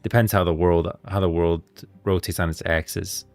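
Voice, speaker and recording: A man with a deep voice talks casually and emphatically, like a streamer sharing strong opinions on game lore. The sound is dry and close to the microphone, with faint music underneath. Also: steady tone, normal speed, a little mellow, no echo. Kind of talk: casual livestream commentary. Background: background music.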